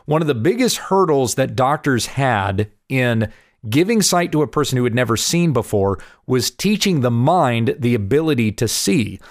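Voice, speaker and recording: A man in his forties, slightly hoarse from allergies, earnestly explaining Bible passages and answering viewer questions. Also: mid-range voice, animated, normal speed, dry recording, clean studio sound. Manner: earnest, explanatory, reads scripture aloud. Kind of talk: casual Bible teaching video. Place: home in Kansas.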